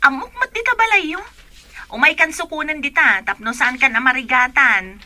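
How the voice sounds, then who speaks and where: A woman's voice: sits high, normal speed, sharp tone, no room sound. A woman with an Ilocano accent playing an exuberant, outgoing young best friend in a radio drama. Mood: excited, coaxing. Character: Rika, outgoing young best friend who loves nightlife.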